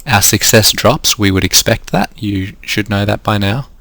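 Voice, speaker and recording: Aussie guy explaining trades on slides, kinda low voice, dry close sound, voice going a bit. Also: moderate pace, creaky, fairly bright tone, no room sound. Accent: Australian. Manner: explanatory, walks through numbers step by step. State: losing his voice. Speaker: trading coach. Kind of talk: screen-recorded lesson.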